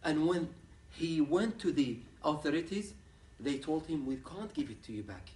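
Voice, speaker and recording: A man from Ahwaz gives a conference lecture as a human rights activist. He speaks in earnest, halting English. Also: fairly high pitch, expressive, darkish tone. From Ahwaz, southwestern Iran. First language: Arabic. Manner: earnest, plain-spoken, halting non-native English. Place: university lecture room. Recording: echoey room, picked up from a distance.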